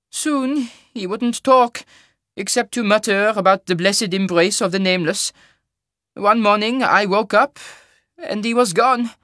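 A man's voice: high voice, pushed and bright, no room sound, clean studio sound, voice pushed up. A man voicing a kindly, grieving old woman baker with a plaintive, plain-spoken manner and a strong accent. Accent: strong foreign-sounding fantasy accent.

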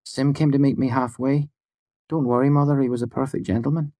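A man voicing a playful, sharp-tongued young woman in an audiobook, with a huffy, theatrical, teasing manner. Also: mid-range voice, steady tone, brisk, no room sound, clean studio sound, pitched above the performer's own voice, lightly acted.

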